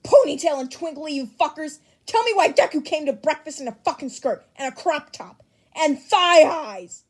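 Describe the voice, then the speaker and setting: Woman doing a loud, hot-tempered teenage boy. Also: sits high, animated, moderate pace. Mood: furious. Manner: shouting, swearing, indignant. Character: hot-tempered foul-mouthed teenage boy in a group chat. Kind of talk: dramatised fan fiction reading.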